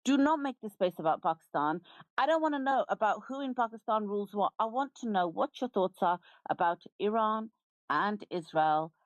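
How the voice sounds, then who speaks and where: A woman's voice: mid-range voice, lively intonation, moderate pace, dry recording. A middle-aged British woman hosting a live audio space, lecturing and scolding a speaker in a heated debate. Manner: forceful, lecturing, interrupts and scolds.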